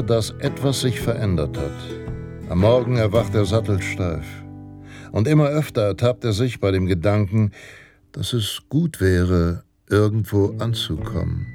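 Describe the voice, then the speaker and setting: Deep-voiced, solemn Western narrator over guitar. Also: male voice. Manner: measured, solemn, dramatic. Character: Western pulp narrator, male, grave and self-assured. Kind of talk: scripted radio drama narration. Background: guitar music.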